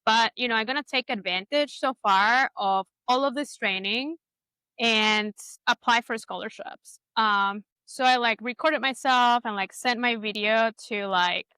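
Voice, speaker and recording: Bright, clear, high-voiced woman from Ecuador. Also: dry recording. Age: 30s. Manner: informal, peppers talk with "like" and quoted inner thoughts. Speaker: interview guest, product designer. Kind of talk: casual conversational interview, personal storytelling.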